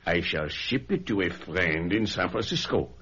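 An actor playing a suave, discreet antique dealer in a screen drama, formal and courtly on the telephone. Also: male voice, low voice, lively intonation, moderate pace, dull audio, crowd noise. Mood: suave, conspiratorial. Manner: formal, courtly, smooth-talking.

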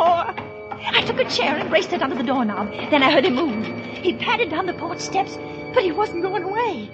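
Frantic, high-voiced hunted wife. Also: female voice, highs cut off. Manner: breathless, overwrought, dramatic. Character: terrified, frantic married woman under threat. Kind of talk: 1940s radio suspense acting.

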